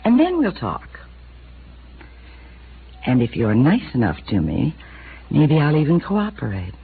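A cool, evasive widow. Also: female voice, sits low, wildly swooping pitch, measured pace, husky, full character voice. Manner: evasive and coolly defiant. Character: cool, guarded young widow of a police chief. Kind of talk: 1950s radio drama acting. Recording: tinny old radio broadcast with faint hiss.

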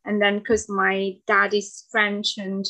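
A woman's voice: medium pitch, completely flat delivery, slow. Give me an Italian-born woman chatting on a video call. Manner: hesitant, many ums, warm laughs. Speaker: guest, mature medical student. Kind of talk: casual video-call interview. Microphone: video call webcam.

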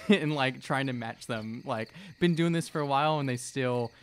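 A man's voice: medium pitch, moderate pace, slight background noise. A young man chatting casually and improvising as a player in a tabletop game, close on a podcast mic. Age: young adult. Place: small studio room, indoors. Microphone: close podcast microphone on a stand.

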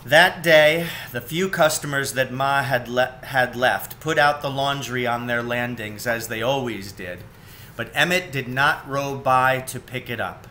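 A man's voice: medium pitch, unhurried, bright, forceful tone, slight background noise. A man in his forties reading a children's storybook aloud, recorded indoors at home on a webcam.

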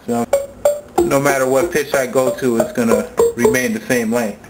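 A man's voice: medium pitch, fairly even intonation, normal speed, low-quality recording. Casual slangy man over sampler music. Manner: informal, slangy. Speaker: tutorial presenter. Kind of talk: casual hands-on gear demonstration. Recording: music and sampler sounds behind voice. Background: sampled music loops and instrument sounds.

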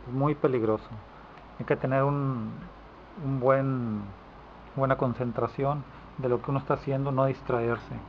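A man's voice: mid-range voice, steady tone, unhurried. A man giving an informal hands-on demonstration as a repair technician, recorded on a handheld camera indoors. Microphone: handheld camera microphone. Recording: dull lo-fi camera audio, clear background noise.

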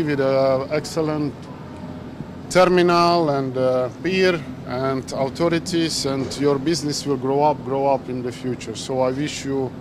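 A man, the master of a cruise ship, speaking at a press interview outdoors on a pier, with many pauses and fillers. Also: a little high, noisy background. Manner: halting, with frequent pauses and fillers. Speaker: ship captain. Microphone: bunch of handheld press mics.

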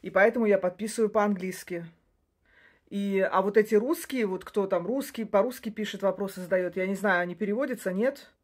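A woman in her forties talks to her phone in a small room, chatty and rambling at an ordinary pitch. She often restarts her sentences and breaks off into short laughs. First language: Russian. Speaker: social media creator. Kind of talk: casual talk to camera. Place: small room indoors by a curtain. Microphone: phone camera close up.

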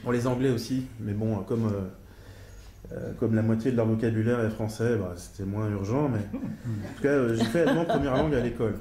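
A man's voice: mid-range voice, moderate pace, a crowd in the background. A middle-aged man, a psychiatrist, speaking at a conference panel, hesitant with many fillers and careful over foreign names. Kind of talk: academic conference talk and discussion. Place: large lecture hall. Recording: echoey hall with faint noise.

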